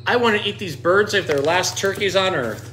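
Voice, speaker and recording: A man's voice: sits high, normal speed, bright, forceful tone, slight background noise, voice pushed up. A man voicing a scheming, indignant little old lady in a children's storybook, exclaiming.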